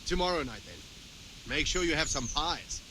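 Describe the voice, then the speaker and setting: An actor playing a boastful, flirtatious medieval rogue in a video game, teasing and playful. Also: male voice, fairly high pitch, sing-song, unhurried, background noise. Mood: flirty. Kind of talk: acted game dialogue.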